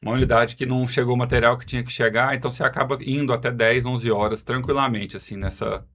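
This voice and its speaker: A man's voice: medium pitch, fairly even intonation, fast, a bit bright. A man with a Goiás Brazilian accent giving casual interview answers, self-deprecating and wandering into explanatory asides. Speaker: podcast guest, city health secretary.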